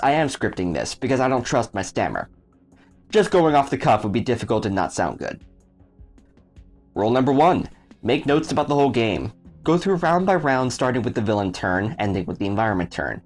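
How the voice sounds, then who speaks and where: A man's voice: medium pitch, very animated, normal speed, faint music behind. A man reading a scripted explanation as a video creator, in an explanatory, step-by-step way. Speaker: video creator explaining his storytelling method. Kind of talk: scripted narration.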